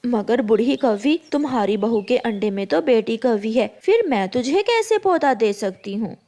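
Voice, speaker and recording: A woman's voice: a little high, lively intonation, moderate pace, dark tone, close to an everyday voice. A woman voicing a frank, matter-of-fact female bird doctor in a cartoon story. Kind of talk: Hindi cartoon story acting.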